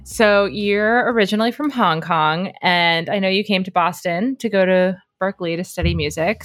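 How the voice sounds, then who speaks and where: A woman's voice: mid-range voice, dry recording, studio quality. A woman hosting a podcast, upbeat and encouraging as she introduces and questions her guest. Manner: upbeat and warm-toned, encouraging. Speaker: podcast host.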